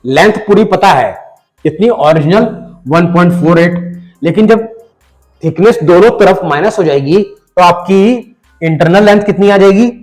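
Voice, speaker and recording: Hoarse male math teacher. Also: high-pitched, a little mellow, soft background music, low-quality recording. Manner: patient, addresses the viewer directly, repeats numbers slowly. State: hoarse from a cold. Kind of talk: step-by-step math lesson voice-over.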